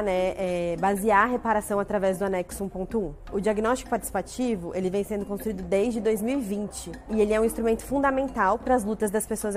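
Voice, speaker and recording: A woman's voice: medium pitch, darkish tone. A Brazilian woman, a team coordinator, giving an explanatory interview statement.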